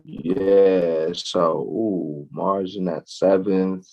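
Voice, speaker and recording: A man's voice: deep voice, fairly even intonation, extremely slow, creaky, warm tone, lo-fi sound. A man co-hosting a casual astrology chat, laid-back, with frequent laughs and lots of fillers. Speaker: astrology show co-host.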